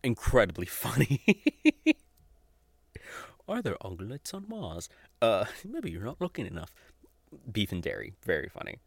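A man's voice: on the low side, wildly swooping pitch, moderate pace, creaky, pauses often, no echo. A man in his twenties hosting a podcast, talking informally and unscripted with some swearing and frequent chuckling. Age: 20s. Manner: informal, profane, chuckles often while talking. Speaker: podcast host. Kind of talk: casual, unscripted podcast recommendations.

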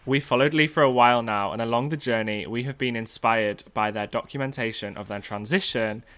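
A man co-hosting a casual podcast interview with a bright, forceful tone. He gushes with enthusiasm and piles on compliments for his guest. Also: mid-range voice, a little fast. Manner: gushing, enthusiastic, effusive compliments. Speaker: podcast co-host.

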